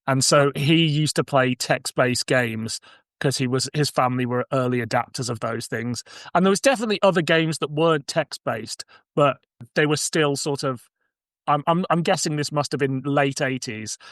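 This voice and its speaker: A man's voice: medium pitch, moderate pace, fairly bright tone, dry recording, clean studio sound. A man in his forties hosting a podcast, switching between casual chat and scripted summaries. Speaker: podcast host.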